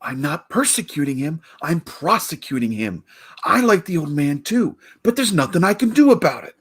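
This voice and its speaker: An actor playing a combative, exasperated prosecutor in a 1940s-style radio play, indignant and emphatic. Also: male voice, on the high side, sing-song, moderate pace, a bit bright, slightly rough, full character voice. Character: exasperated, combative male prosecutor, family man.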